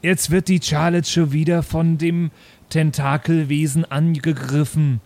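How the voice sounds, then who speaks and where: A man's voice: a little high, flat delivery, measured pace, gravelly, darkish tone. A man speaking casually as a player in an improvised tabletop role-playing podcast. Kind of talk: casual improvised game chat. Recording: music bed behind the voice. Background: background music.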